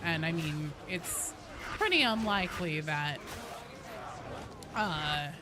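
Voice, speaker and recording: A woman's voice: deep voice, expressive, takes it slowly, background noise. A woman streaming a game, casually explaining lore in a rambling, informal way with some swearing.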